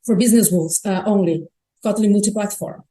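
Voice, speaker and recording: A woman's voice: medium pitch, quick pace, mellow, poor audio. A Brazilian woman, a native Portuguese speaker, giving a conference talk on stage in a chatty way, often restarting her sentences. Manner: chatty, self-correcting, frequent restarts.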